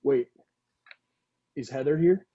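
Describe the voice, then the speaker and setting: A man's voice: medium pitch, slow pace, slightly rough. A man playing a sweet, dim, lovesick young slacker with slangy, earnest, boastful talk in a comedy table read. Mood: curious, chummy. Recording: dull, lo-fi video call sound.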